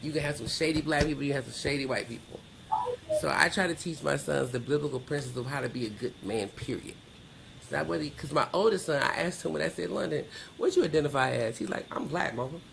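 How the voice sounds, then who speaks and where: A middle-aged woman with a low, gravelly voice speaks as a podcast guest. She is candid and emphatic, slipping into quoted dialogue as she tells personal stories. There is some noise behind her. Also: quick pace. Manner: emphatic, plain-spoken, acts out quoted dialogue. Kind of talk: candid personal interview storytelling.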